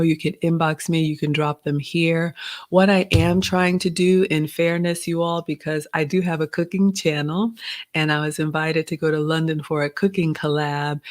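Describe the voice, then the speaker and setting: A woman in her forties, originally from Ghana, chatting casually as host of a live Q&A and reading out viewer comments. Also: deep voice. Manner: chatty, reads viewer comments aloud, uses "y'all" and "you all".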